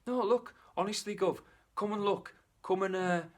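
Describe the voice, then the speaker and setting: Jittery servant type, higher and pleading; male storyteller voice. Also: normal speed, close to an everyday voice. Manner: nervous, pleading.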